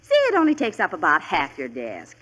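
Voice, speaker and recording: An actress playing a fussy, excitable middle-aged suburban wife and mother in an old radio comedy, insistent and exclamatory. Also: low voice, a little fast, husky, full character voice, rough recording. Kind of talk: 1940s radio sitcom acting.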